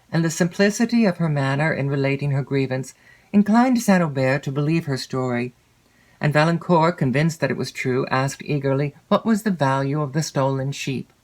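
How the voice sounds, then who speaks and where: A woman's voice: sits low, a little mellow. Woman narrating a classic novel. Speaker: audiobook narrator.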